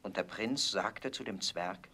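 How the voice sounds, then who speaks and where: A man narrating a fairy tale in a scripted audio play with measured storytelling. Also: on the low side, sharp tone, a little hiss or hum.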